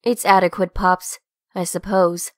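A woman's voice: fairly low pitch, flat delivery, unhurried, dry recording, clean studio sound, lightly acted. A woman playing a haughty, ruthless young female revolutionary in a comic dub, speaking with zeal. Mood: curt, haughty. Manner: haughty, zealous. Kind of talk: comic dub character acting.

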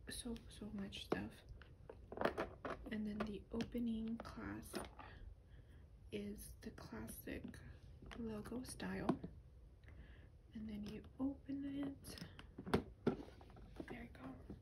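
A woman speaking softly in a breathy, often whispered voice, gently and hesitantly showing items in a rambling ASMR style. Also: a little high, steady tone, slow pace, long pauses. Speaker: ASMR doll reviewer. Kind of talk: soft-spoken ASMR, rambling show and tell. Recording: clearly noisy lo-fi home recording.

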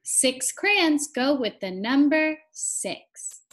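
Young lady teacher doing a kids read-aloud, slow and sing-song, super up and down, encouraging. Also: sits high, sing-song, a bit bright. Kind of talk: interactive children's read-aloud lesson.

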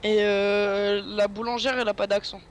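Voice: medium pitch, flat delivery, normal speed, clear, resonant tone. A guest player chatting casually during a co-op farming game, rambling and playful in tone. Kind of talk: casual co-op gameplay chat.

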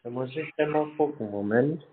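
A man's voice: medium pitch, normal speed, husky. A man in his thirties answering a phone call in short, clueless-sounding replies. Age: 30s. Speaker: prank call recipient of a scam call. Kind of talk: phone conversation, brief replies.

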